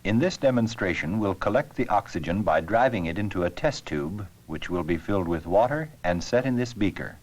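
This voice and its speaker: A man narrating an educational science film with scripted, measured, explanatory delivery. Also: deep voice, expressive, darkish tone.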